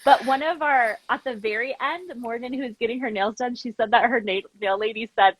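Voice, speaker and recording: A woman's voice: on the high side, fairly quick, poor audio. A woman chatting informally as a guest in a casual interview, telling stories and acting out bits of dialogue. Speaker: interview guest, language program founder. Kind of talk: casual live interview chat, animated storytelling.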